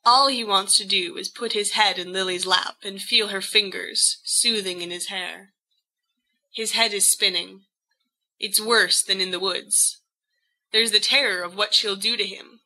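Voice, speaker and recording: A woman reading a story aloud as an audiobook narrator, in an expressive scripted style. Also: mid-range voice, poor audio.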